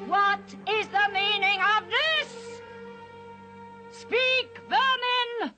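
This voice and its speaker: An actress playing a cruel, haughty witch queen who commands with contempt in an animated fantasy. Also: extremely high pitch, very slow, music in the background, exaggerated voice. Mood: haughty, menacing. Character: imperious, cruel witch queen, quick to rage. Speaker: villain. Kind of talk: theatrical animated fantasy acting.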